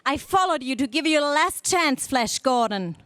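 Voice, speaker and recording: A woman's voice: high voice, expressive, normal speed, a bit bright, dry recording. A woman playing a haughty, jealous young princess villain in a 1930s-style space radio serial. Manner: haughty, declamatory.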